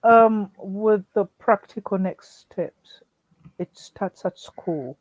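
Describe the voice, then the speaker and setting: A man's voice: sits high, slow, no echo, poor audio. A man invited as an education expert in an online discussion, explaining things in a hesitant, affirming way with frequent restarts over a video call.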